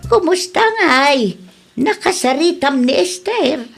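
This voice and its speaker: A woman's voice: high-pitched, animated, slow, gravelly, over music, exaggerated voice. A woman with a Philippine accent playing an admonishing, motherly older village aunt who preaches in a comedy radio drama. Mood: concerned, advising.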